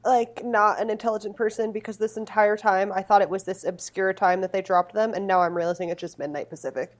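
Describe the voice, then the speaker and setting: Podcast guest, woman from Massachusetts, self-mocking humor, mid pitch, conversational. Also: steady tone, fast. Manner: self-deprecating, jokey. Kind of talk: casual chat.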